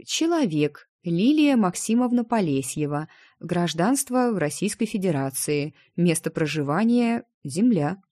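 A woman's voice: on the low side, sing-song, a little slow, few pauses, mellow, no echo, clean studio sound. A woman reading a storybook, voicing an uncertain young female student who is hesitant and awkward. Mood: awkward, sheepish. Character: uncertain young heroine, new student medium from Earth.

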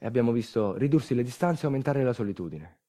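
A man's voice: mid-range voice, animated, quick pace, warm tone, close to an everyday voice. An actor playing an earthquake survivor in a theatrical monologue, telling his town's bitter, nostalgic story in incantatory lists. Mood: bitter lament.

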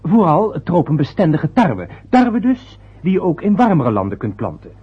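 A breathy, lecturing student son. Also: male voice, fairly high pitch, wildly swooping pitch, normal speed, heavily acted. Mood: defensive. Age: young adult. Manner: patient, lecturing. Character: young adult son, a proud biology student. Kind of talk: radio play acting. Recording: dull lo-fi old radio recording.

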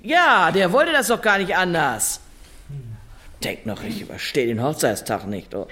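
A woman's voice: fairly low pitch, huge pitch swings, unhurried, bright, forceful tone, full character voice. An actress playing a middle-aged, bitter, foul-mouthed ex-convict, telling her story bluntly and sardonically in a radio drama monologue. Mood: resentful. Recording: faint music underneath.

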